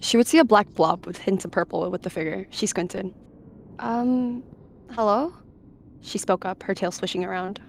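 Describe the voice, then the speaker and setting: A woman's voice: fairly low pitch, steady tone, fairly quick, gravelly, few pauses, slight background noise. A woman narrating a story in the third person for a game roleplay.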